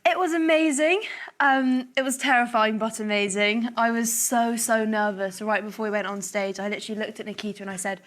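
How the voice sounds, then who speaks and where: A woman's voice: fairly high pitch, steady tone, brisk, fairly bright tone. A young woman chatting as a guest on a studio show, bubbly and giggly. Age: young adult. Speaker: celebrity contestant. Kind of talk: guest interview.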